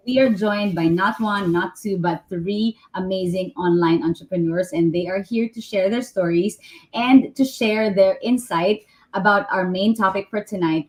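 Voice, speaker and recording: A woman's voice: mid-range voice, darkish tone, rough recording. A woman hosting a live online panel, upbeat as she introduces her guests. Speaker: host.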